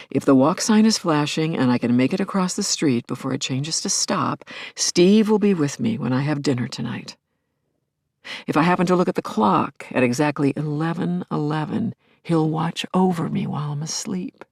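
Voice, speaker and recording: A woman's voice: deep voice, animated, normal speed, vocal fry, a little mellow, dry recording, clean studio sound, full character voice. An actress playing a grieving middle-aged widow in an audio play, telling her story in a searching, intimate way that can drop toward a whisper. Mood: wondering, hushed. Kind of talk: dramatic first-person monologue, audio play.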